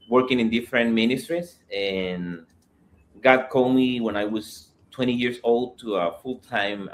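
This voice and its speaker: A young Salvadoran man, a Spanish-speaking missionary, answering interview questions carefully with frequent pauses and fillers. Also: medium pitch. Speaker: missionary guest.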